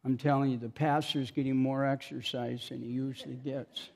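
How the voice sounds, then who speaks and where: A man's voice: mid-range voice, little pitch movement, normal speed, creaky, a little mellow. A man preaching an informal sermon, chatty and self-mocking, joking with the congregation between scripture readings.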